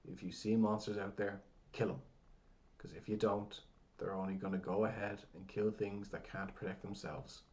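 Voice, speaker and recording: A man voicing a crass, half-deaf old blacksmith who shouts in a gruff, rough brogue. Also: on the low side, little pitch movement, normal speed, lightly acted. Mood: grave.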